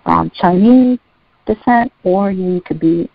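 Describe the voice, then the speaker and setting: Woman guest, soothing voice, lowish pitch, chatty with fillers, dull lo-fi sound. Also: slow pace, vocal fry, dry recording. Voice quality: soothing, relaxing voice. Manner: chatty, rambling with fillers and self-corrections. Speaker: poet, guest. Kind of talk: casual interview chat. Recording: dull lo-fi recording.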